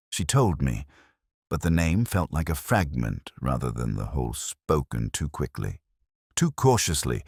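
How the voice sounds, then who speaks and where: Very deep, brooding detective played by a man. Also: dry recording, clean studio sound, full character voice. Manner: measured, literary, reflective. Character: brooding, melancholy male English police detective recounting a case. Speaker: narrator. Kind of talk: scripted first-person detective narration.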